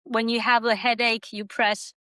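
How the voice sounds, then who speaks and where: Workshop presenter, woman from Taiwan, clear and fairly high voice, conversational and loosely structured, dry recording. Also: level tone, moderate pace, bright, forceful tone, no room sound. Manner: rambling, conversational, non-native grammar. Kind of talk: informal workshop talk.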